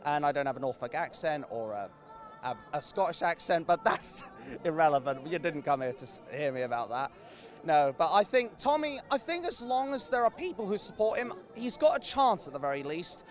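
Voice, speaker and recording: Earnest man from Norwich, slightly high. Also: very animated, moderate pace. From Norwich, England. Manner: earnest, searches for words. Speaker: interviewee, rally supporter. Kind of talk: street interview.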